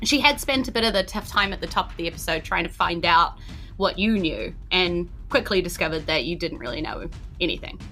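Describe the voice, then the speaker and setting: A woman hosting as dungeon master, narrating a tabletop game recap in an excited way. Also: on the low side, fairly quick, faint music behind.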